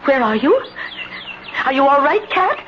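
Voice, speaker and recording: A woman's voice: sits high, sing-song, a little slow. An actress playing an older, timid, lonely spinster in a radio drama, faltering and plaintive as she repeats herself. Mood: anxious. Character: frantic, lonely retired spinster, timid and pleading. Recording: muffled, hissy old radio recording.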